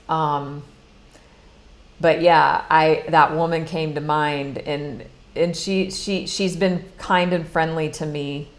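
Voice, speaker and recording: A middle-aged American woman telling a personal story in a casual live talk, chatty and earnest, with many restarts. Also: low voice. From United States. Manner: earnest, confessional. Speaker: money mindset coach.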